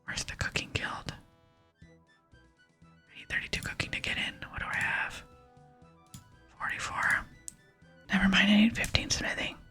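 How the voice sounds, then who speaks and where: An ASMR gaming host whispering casual commentary, softly murmuring thoughts aloud while playing. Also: mid-range voice, level tone, measured pace, long pauses, soft background music. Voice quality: soft breathy whisper. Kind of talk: ASMR gameplay commentary, reading dialogue aloud.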